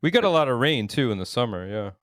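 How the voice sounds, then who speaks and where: A man's voice: medium pitch, very animated, fast talker, fairly bright tone, dry recording. An American man chatting casually as an interview guest, with an informal, slangy way of talking. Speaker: interview guest, record label owner. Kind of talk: casual chat.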